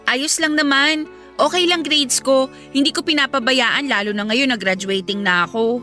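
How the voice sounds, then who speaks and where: A woman's voice: high-pitched, moderate pace, sharp tone. A woman playing a friendly young student, the younger sister, in a Filipino radio drama. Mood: easygoing, helpful. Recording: music behind the voice.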